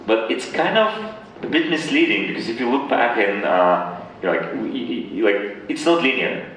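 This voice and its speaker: A man from Ukraine answering interview questions conversationally, with a halting delivery full of repeated words. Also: mid-range voice, lively intonation, a bit bright. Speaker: interview guest, tech founder. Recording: very echoey room, distant mic, faint noise.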